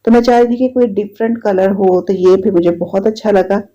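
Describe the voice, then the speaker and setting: A woman's voice: medium pitch, brisk. Chatty woman with a slightly sore throat. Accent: Pakistani Urdu. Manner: chatty, repetitive, relaxed storytelling. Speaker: vlogger. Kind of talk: casual voice-over narration. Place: home. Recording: dull lo-fi sound.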